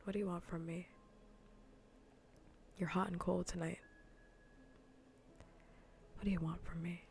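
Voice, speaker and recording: Soft, low, half-whispering woman streamer. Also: level tone, a little slow. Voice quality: soft, breathy, often near-whispered. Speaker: livestreamer. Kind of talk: soft-spoken ASMR, casual livestream chat while gaming. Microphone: close ASMR microphone. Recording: faint background noise.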